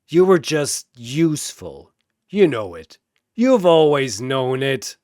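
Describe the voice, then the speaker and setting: A man voicing a gruff, defiant, feral brawler who taunts and growls in an animated story. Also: mid-range voice, takes it slowly, dry recording, clean studio sound. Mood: snarling contempt.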